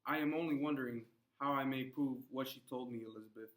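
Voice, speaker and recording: A man's voice: mid-range voice, fast talker, lightly acted. A man reading the part of a proud, defensive Puritan husband in a play, stern and exasperated. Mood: reluctant. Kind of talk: classroom play read-aloud.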